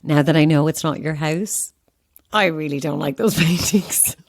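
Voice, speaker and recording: A woman playing a chatty, eager actress, flippant and quick to apologise, in a naturalistic audio horror drama. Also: low voice. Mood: curious, uneasy. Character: chatty, eager young actress, impressed but uneasy. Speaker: actor.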